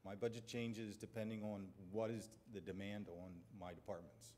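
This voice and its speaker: A man's voice: on the low side, fairly even intonation, normal speed, a little mellow, a little hiss or hum. A man presenting as a department head at a public meeting in a matter-of-fact way. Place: council chamber, standing at lectern.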